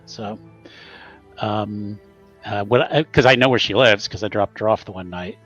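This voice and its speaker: A man's voice: fairly low pitch, normal speed, a bit bright, lo-fi sound, faint music behind. A man playing a tabletop game, chatting casually and hesitantly as he searches for words to describe his character's moves. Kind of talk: casual, improvised game chat.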